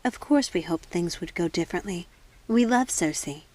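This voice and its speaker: A woman voicing gentle, motherly witches in a storybook, tender, measured and sadly resigned. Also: lowish voice, animated. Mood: sorrowful.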